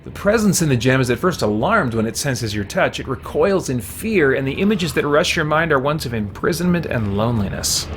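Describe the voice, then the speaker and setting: A man live-streaming a game, reading its text aloud and chatting casually as he thinks out loud. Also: mid-range voice, brisk, few pauses. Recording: steady background noise.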